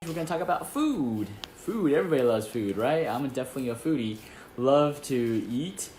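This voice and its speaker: A man's voice: medium pitch, animated, moderate pace, darkish tone, slight background noise. A man from Taiwan who works as an acupuncturist, giving casual, conversational health tips and often checking in with short tag questions. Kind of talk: casual instructional talk to camera.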